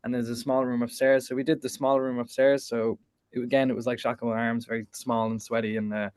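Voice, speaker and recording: Guest, male musician, mid-pitched, dry close sound; easygoing, trailing off. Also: little pitch movement, fairly quick, darkish tone, smooth, no echo. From Ireland. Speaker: band member, guest. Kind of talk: casual interview answers.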